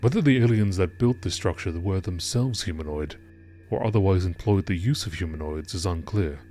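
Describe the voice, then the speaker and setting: A man reading scripted lore in a measured, formal documentary narration style. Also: low voice, dark tone, slight background noise.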